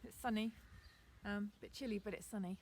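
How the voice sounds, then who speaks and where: A woman teacher with a received pronunciation accent gives a chatty, relaxed video lesson outdoors in her garden, with frequent ums. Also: mid-range voice, a little fast, a little hiss or hum.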